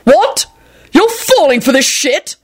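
A woman's voice: deep voice, sing-song, fairly quick, fairly bright tone, breathy edge, full character voice. A woman voicing a blunt, easily exasperated young man with a sarcastic, barking delivery in an audiobook story. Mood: incredulous.

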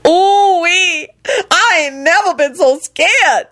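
Shrill, wailing, pious big woman. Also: takes it slowly, pushed and bright, full character voice. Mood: wailing fear. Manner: folksy, ungrammatical, exclamatory. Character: loud, pious, big dramatic woman, easily terrified.

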